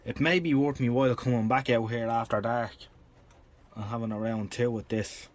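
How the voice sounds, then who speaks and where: A man's voice: mid-range voice, steady tone, moderate pace, a little hiss or hum. A man giving a casual, matter-of-fact technical commentary on a hands-on demonstration in a workshop, thinking aloud as he goes. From Ireland. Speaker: vintage radio restorer and collector.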